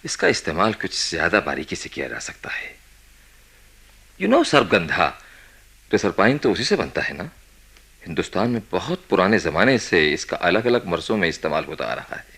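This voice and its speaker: Deep, raspy, commanding senior psychiatrist. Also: male voice, very animated, fairly bright tone, full character voice. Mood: grave, musing. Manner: deliberate, probing, commanding, dramatic pauses. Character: authoritative, intense senior psychiatrist running experiments. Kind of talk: radio play acting, Hindi mixed with English.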